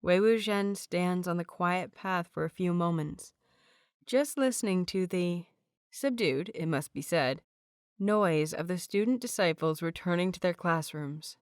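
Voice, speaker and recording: A woman narrating a fanfiction audiobook in a steady storytelling voice. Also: on the low side, unhurried, clear, resonant tone, no echo, studio quality.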